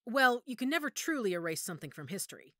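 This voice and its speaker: A woman playing a knowledgeable werewolf pack member in an audio drama, speaking in a measured, authoritative, explanatory way. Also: on the high side, big pitch swings, fairly bright tone, no echo, clean studio sound. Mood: serious, explanatory. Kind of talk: audio roleplay drama acting.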